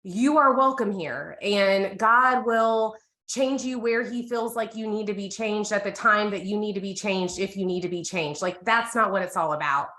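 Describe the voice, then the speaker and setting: Female co-host, 30s, American accent, bright voice, candid conversational testimony, echoey room. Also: mid-range voice, moderate pace. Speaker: co-host of a women's Bible reading group. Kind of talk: candid, casual personal testimony.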